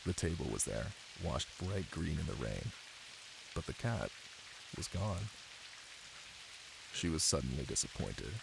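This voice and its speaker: A man playing an affectionate, playful boyfriend in an intimate ASMR roleplay, with a deep, husky voice and soft, teasing delivery. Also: very animated, noisy background, exaggerated voice. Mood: soft storytelling. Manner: soft, unhurried, teasing, with murmured pauses. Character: affectionate, playful, ticklish boyfriend reading aloud to partner. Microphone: close microphone. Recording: close, dry, with rain ambience. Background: rain.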